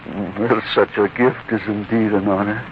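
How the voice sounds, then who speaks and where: An actor plays a stodgy but kindly old man of learning. His delivery is avuncular, humble and gently halting, in 1940s radio drama style. The audio is dull and faintly noisy. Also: lowish voice, steady tone, natural voice. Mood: thoughtful, reassuring.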